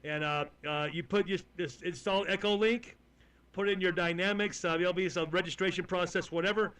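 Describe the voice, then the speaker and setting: A man with a Texan accent running a ham radio net, folksy and halting, with many fillers. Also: high voice, steady tone, fairly bright tone, clear, resonant tone. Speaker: net control host.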